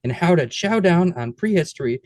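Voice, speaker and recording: A man hosting a comedy podcast, delivering a rapid string of puns with playful relish. His voice sits a little high for a man. The recording is dry and close, with no room sound. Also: lively intonation, darkish tone, no room sound. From United States. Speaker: podcast co-host. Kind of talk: scripted pun-filled guest introduction, then casual chat.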